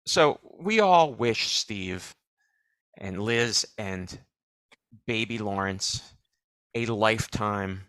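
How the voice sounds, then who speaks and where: A man co-hosting a live show, giving wry, deadpan commentary and setting up clips. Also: fairly low pitch, drawn-out words, long pauses, clear, resonant tone, no echo. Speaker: co-host. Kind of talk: casual chat.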